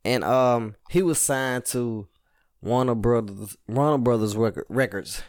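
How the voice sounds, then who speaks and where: A man's voice: mid-range voice, unhurried, no echo. Laughing man in dry podcast banter. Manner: laughs a lot, loose slangy banter. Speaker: podcast co-host. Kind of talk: casual chat.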